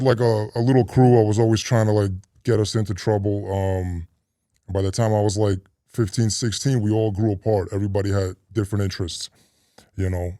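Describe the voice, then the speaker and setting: Man, low mellow voice, dry close sound, laid-back rambling talk. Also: no room sound. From grew up in a Russian immigrant neighborhood in the US. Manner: relaxed and meandering, many likes and restarts. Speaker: interview guest, retired robber. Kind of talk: casual conversational interview.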